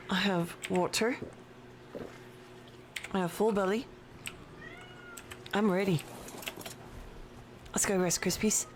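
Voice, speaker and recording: Slow-talking woman streamer chatting to viewers. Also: medium pitch, slight background noise. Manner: slow, chatty, talks to viewers and her pet. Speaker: game streamer. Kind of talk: casual live-stream chat while playing. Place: home desk with a cat nearby.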